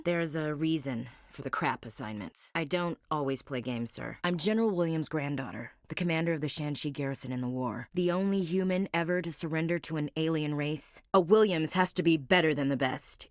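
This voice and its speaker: A woman's voice: sits low, expressive, fairly quick, hardly any pauses, dry recording, studio quality. An actress voicing a frank, wry young woman soldier in a video game, plain-spoken and devout. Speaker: marine gunnery chief. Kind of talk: video game character dialogue.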